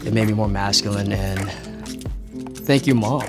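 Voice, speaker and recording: A man's voice: fairly low pitch, moderate pace, over loud music. A man in his twenties talking casually and earnestly, full of fillers, in a candid group conversation. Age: 20s. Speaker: participant.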